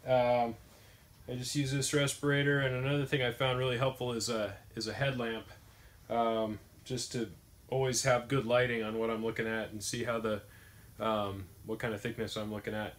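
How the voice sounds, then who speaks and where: A man's voice: mid-range voice, fairly even intonation, normal speed. A young man talking plainly through his metalworking steps in a basement workshop, with frequent ums and uhs. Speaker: metalworking vlogger. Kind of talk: casual workshop narration.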